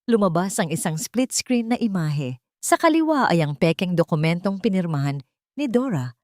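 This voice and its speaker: A woman narrating a scripted dramatic story in a measured, theatrical storytelling manner. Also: on the low side, very animated, dry recording, studio quality.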